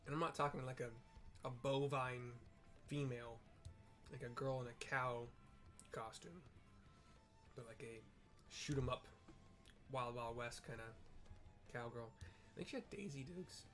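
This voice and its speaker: A man narrating a fantasy game's story for listeners, with chatty, excitable asides between the lines. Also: medium pitch, a little slow, long pauses, soft background music. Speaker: game streamer narrating story quests. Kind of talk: casual commentary and read-aloud narration.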